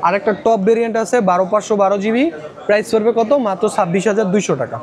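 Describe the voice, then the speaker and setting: A man with a Bangladeshi accent pitching phones to viewers in a shop, rattling off models and prices and repeating them. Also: fairly even intonation, very fast. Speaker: phone shop seller presenting stock and prices. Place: electronics shop in a busy shopping complex. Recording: busy shopping mall crowd behind voice. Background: crowd chatter.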